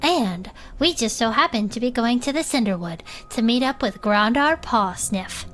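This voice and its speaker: A woman's voice: fairly high pitch, animated, normal speed, close to an everyday voice. A woman playing an earnest, matter-of-fact former adventurer and cryptid-loving sidekick in chatty audio drama banter. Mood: intrigued.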